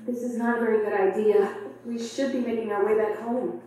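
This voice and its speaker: A woman's voice: a little high, fairly even intonation, a little fast, dark tone, close to an everyday voice. An actress playing an earnest, cautious young woman of faith in an audio drama, principled and pleading. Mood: firm. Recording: very echoey playback from far off.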